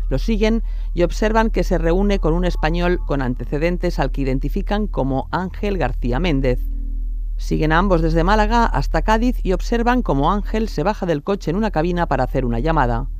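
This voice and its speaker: A man's voice: a little high, animated, a little fast. A man reading scripted narration as the narrator of a true-crime documentary.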